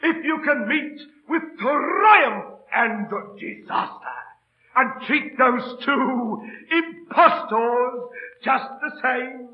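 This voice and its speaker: An actor in an old radio drama playing a middle-aged, down-at-heel busker, proud yet beaten and pleading, with colloquial working-class speech and theatrical delivery. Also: male voice, very high-pitched, expressive, drawn-out words, husky, heavily acted. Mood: declamatory. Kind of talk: 1930s-40s radio drama acting. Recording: old radio broadcast, phone-like narrow band.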